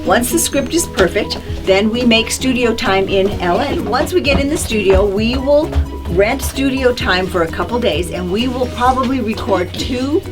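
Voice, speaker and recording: A woman's voice: fairly high pitch, expressive, normal speed. Lady doing a tour guide talk, kinda theatrical storyteller, music playing behind her. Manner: theatrical storytelling with vocal demonstrations.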